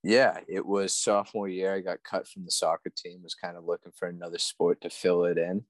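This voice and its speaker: A man's voice: low voice, flat delivery, a little fast, dry recording. Easygoing young man in his twenties. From Massachusetts, USA. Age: 20s. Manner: easygoing, self-deprecating, fillers. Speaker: podcast guest, amateur fighter. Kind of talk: casual interview talk.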